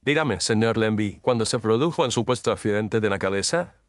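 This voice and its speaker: A man's voice: fairly low pitch, lively intonation, fairly quick, no echo, lightly acted. A man playing an ironic, incisive Victorian detective in a scripted audio drama, speaking in sententious lines.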